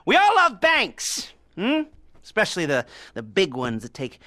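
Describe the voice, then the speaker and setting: A sardonic heist mastermind in a video game, briefing his crew with wheezy chuckles and sarcastic rhetorical asides. His voice is sharp and slightly high, with lively swings in pitch. It sounds dry and close. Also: a little slow, dry recording, heavily acted. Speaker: heist planner.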